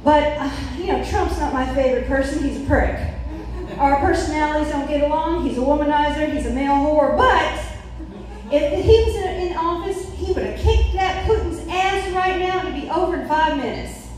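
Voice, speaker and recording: A woman's voice: high voice, normal speed, darkish tone. A middle-aged woman doing a brash, profane, opinionated stand-up routine on a small club stage with a handheld mic. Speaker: stand-up comedian. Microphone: handheld stage mic, recorded from the audience. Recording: very echoey room, distant mic, faint noise.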